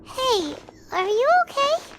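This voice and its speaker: A woman voicing a small, excitable, earnest fairy companion in a video game, with a squeaky childlike voice and an exclaiming manner. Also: takes it slowly, heavily acted. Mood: curious, alert. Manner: refers to self in third person, exclaiming. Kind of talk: English video game voice acting. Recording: studio voice over clear game music.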